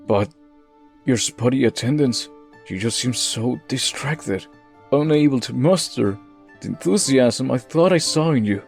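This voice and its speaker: Stern but caring senior scientist boss, played by a man, mid-pitched and creaky, formal, deliberate comic-dub delivery. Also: darkish tone. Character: disappointed, fatherly male research boss, stern but caring. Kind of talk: comic book dub acting.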